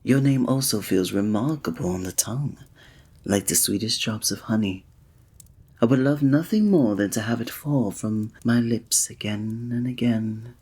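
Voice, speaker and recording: An actor playing a flirtatious, courtly male village innkeeper in an ASMR roleplay, speaking in a formal, breathy way. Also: fairly low pitch, normal speed, a little mellow.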